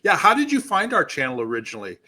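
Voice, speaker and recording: A man's voice: high-pitched, wildly swooping pitch, quick pace, sharp tone. A man hosting an interview channel, talking in an easygoing way with loose restarts. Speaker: podcast host. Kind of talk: casual interview.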